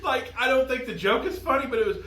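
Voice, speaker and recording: A man's voice: very high voice, animated, fast. A man co-hosting a podcast in casual banter, teasing and laughing often. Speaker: podcast co-host. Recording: echoey room with faint noise.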